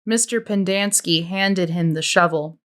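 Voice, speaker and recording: A woman's voice: lowish voice, unhurried, fairly bright tone. A woman reading a children's novel aloud as scripted narration. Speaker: audiobook reader.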